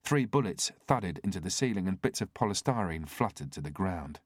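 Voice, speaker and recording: A man's voice: deep voice, animated, fairly quick, no room sound, clean studio sound. A man reading a scripted thriller as an audiobook narrator.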